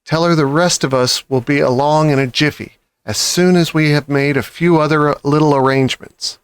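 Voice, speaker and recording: A man's voice: a little high, steady tone, moderate pace, smooth, no echo, lightly acted. Man playing a bossy, self-satisfied father fox. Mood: pleased, brisk. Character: clever, daring, boastful father fox, commanding and cheerful. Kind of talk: children's storybook character voice.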